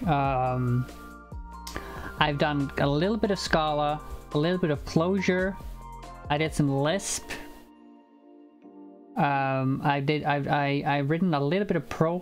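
A young man whose first language is Icelandic, live-streaming programming and talking it through with many fillers and restarts, on a headset mic at home. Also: on the high side. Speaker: programming livestreamer. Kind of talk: casual live coding chat. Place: home room, indoors.

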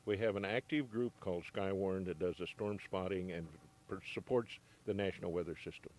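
Deep-voiced older man, matter-of-fact. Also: fairly quick, a little mellow, slight background noise. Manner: plain, matter-of-fact, technical. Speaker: ham radio operator interviewee. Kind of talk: news interview answers. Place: home radio room, then parked truck. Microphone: reporter's handheld mic.

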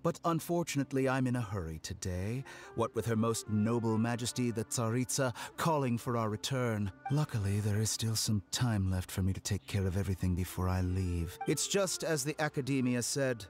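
A man's voice: on the low side, normal speed, lightly acted. A man voicing a smug masked villain scholar. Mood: condescending. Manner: smooth, condescending, coolly polite. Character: suave, arrogant masked male villain scholar. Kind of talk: video game voice acting. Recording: game audio with soft music behind.

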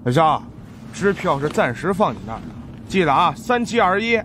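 A man's voice: fairly high pitch, big pitch swings, fairly quick, a bit bright, a little hiss or hum, voice pushed up. A man voicing a cheeky, shrewd fat sidekick in an audiobook, needling and wheedling as he talks. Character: cheeky, shrewd fat male sidekick, money-minded grumbler. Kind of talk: audiobook character acting.

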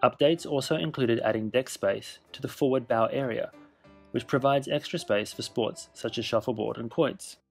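Gravelly-voiced man narrating a ship tour. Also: medium pitch, faint music behind. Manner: measured, informative, list-style delivery. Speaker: cruise ship reviewer. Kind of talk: scripted voice-over narration.

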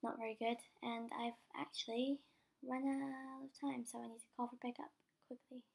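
A young woman with a cold talks through her gameplay, her high voice husky and croaky. Her tone is mellow and a little dark, and she chats casually, mocks herself and sometimes drops to a whisper. She speaks into a desk microphone. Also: little pitch movement, moderate pace. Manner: chatty, self-mocking, drops into whispers. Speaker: gaming channel host. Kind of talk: casual game commentary.

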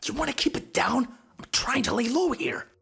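Man voicing a husky, cocky cartoon rooster. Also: very high-pitched, expressive, moderate pace, a bit bright, faint music behind, heavily acted. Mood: alarmed, agitated. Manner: slangy, swaggering, wisecracking. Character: brash cocky male rooster, self-centred smooth-talking loner. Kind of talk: cartoon film fan dub.

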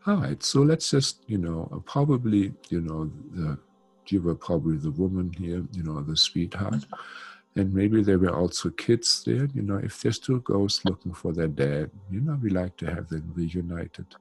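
A man's voice: sits low, creaky, husky. An older man guiding a spiritual session over a video call on a headset, talking loosely with many fillers. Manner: chatty, warm-toned, many "you know" fillers. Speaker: spiritual healer. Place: home room.

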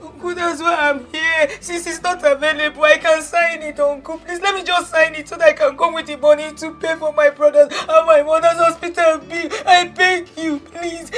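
A woman's voice: high-pitched, fairly bright tone. An actress with a Nigerian accent playing a desperate young woman who begs and wails through tears in a film melodrama. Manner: pleading, wailing, repetitive. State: crying. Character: desperate young woman begging her stern uncle.

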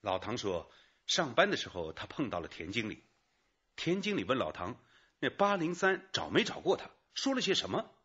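A man's voice: mid-range voice, huge pitch swings, moderate pace, a bit bright, breathy edge, dull audio, exaggerated voice. An actor playing an earnest junior male detective explaining things to his chief in a radio drama. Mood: earnest, reporting. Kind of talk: Chinese radio drama acting.

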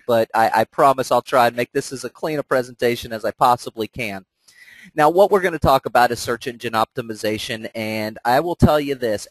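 A man's voice: mid-range voice, dry recording, low-quality recording. Stuffed-up man giving a training talk. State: stuffed up with a cold. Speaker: webinar presenter and trainer. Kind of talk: instructional presentation, conversational.

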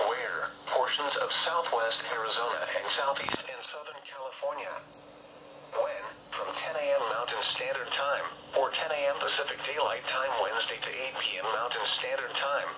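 A man reading a scripted emergency weather bulletin as a radio announcer, in a formal, clipped, automated-sounding way. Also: mid-range voice. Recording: noisy weather radio filmed off speaker.

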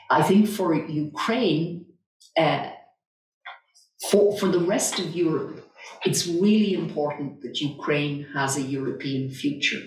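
A woman in her sixties answering an audience question as a panel speaker in a seminar room, measured and repeating words while she thinks. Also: sits low. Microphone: far room mic. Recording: echoey room from far off, faint noise.

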